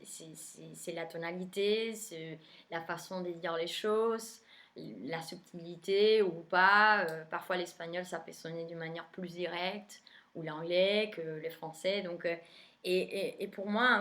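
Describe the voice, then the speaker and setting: A woman's voice: on the low side, a little slow, a bit bright. A young adult woman from Argentina, a tarot reader whose native tongue is Spanish, talking casually in French with an Argentine Spanish accent and many hesitant fillers. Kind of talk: casual spoken video introduction.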